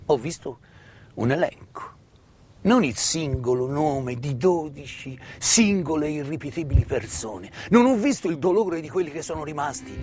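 A middle-aged man delivers a narrative stage monologue with deliberate, emphatic phrasing and dramatic pauses. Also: a little high, a little mellow. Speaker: stage actor and storyteller. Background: live instrumental music.

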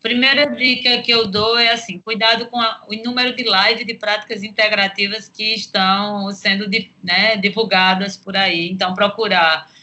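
A woman's voice: mid-range voice, fairly even intonation, moderate pace, hardly any pauses, bright, forceful tone, low-quality recording. A Brazilian woman giving practical, didactic explanations as an expert guest in an interview, going point by point.

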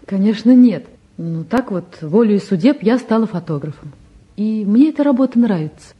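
An actress playing a calm young woman in a naturalistic drama, composed and lightly ironic as she probes and teases. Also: mid-range voice, normal speed, highs cut off, lightly acted. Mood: wry, earnest. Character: calm, gently teasing young woman photographer visiting. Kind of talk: naturalistic stage and radio drama acting.

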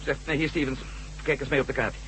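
An actor playing a wry, bantering journalist and adventurer in a science-fiction radio drama. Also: male voice, mid-range voice, fairly even intonation, quick pace, fairly bright tone, a little hiss or hum, natural voice, poor audio. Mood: thoughtful. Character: wry adventurous male journalist, former astronaut.

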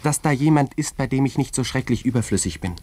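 An actor in a radio play voicing a proud, earnest young cavalry lieutenant, polite and emphatic. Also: male voice, mid-range voice, fast talker, darkish tone, close to an everyday voice. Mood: earnest, tender.

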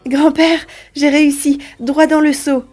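A woman's voice: high-pitched, level tone, unhurried, a little mellow. A woman playing a curious, earnest little farm boy, the grandson, in a French audio drama. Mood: proud excitement. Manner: inquisitive, earnest.

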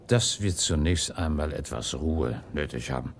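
An actor playing a prudent, loyal count who speaks formally and cautions, in a German radio drama. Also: male voice, extremely low pitch, measured pace, a little hiss or hum. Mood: calm, practical.

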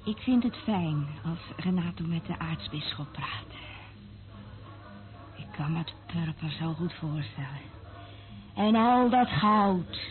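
A woman's voice: lowish voice, animated, slow, long pauses, faint music behind. An actress playing a dreamy, sensitive, experienced woman in a radio play, soothing and reflective in her manner. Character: dreamy, sensitive experienced female surveillance listener. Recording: tinny band-limited old radio recording.

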